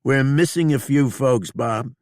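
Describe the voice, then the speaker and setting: A male narrator raises his voice a little to play a cold, menacing woman bounty hunter. She speaks flatly and calmly, and the recording is dry and close. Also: mid-range voice, unhurried, dry recording, pitched above the performer's own voice, lightly acted.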